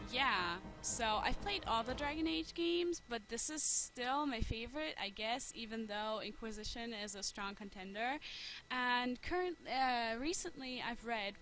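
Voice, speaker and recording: A German woman talking casually as a let's player, hesitant and filling pauses with ums and shy little laughs. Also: fairly high pitch, bright, forceful tone, poor audio. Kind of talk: casual gaming commentary. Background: game music.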